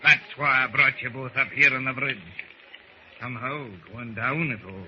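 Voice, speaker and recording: A man playing a gruff, fatherly seaman in command, in old radio serial style. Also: medium pitch, steady tone, normal speed, close to an everyday voice. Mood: grave, resigned. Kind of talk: 1940s radio serial acting. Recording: dull, hissy old radio recording.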